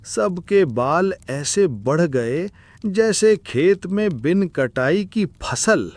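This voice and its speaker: A man voicing a worried, weary village father in a cartoon story, with a creaky voice. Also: sits high, animated, very slow, no room sound, full character voice. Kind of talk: Hindi cartoon story dialogue.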